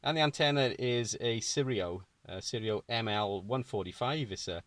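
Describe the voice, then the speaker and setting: A man's voice: medium pitch, normal speed, a bit bright, dry recording. A man with a British accent chatting casually as a radio operator, repeating phrases for clarity. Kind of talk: casual amateur radio chat. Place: inside a parked or mobile car.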